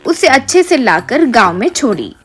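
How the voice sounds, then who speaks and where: A woman narrates a children's moral tale. Her voice is fairly high and follows a scripted storytelling delivery, with light music behind it. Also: sing-song, normal speed, a bit bright.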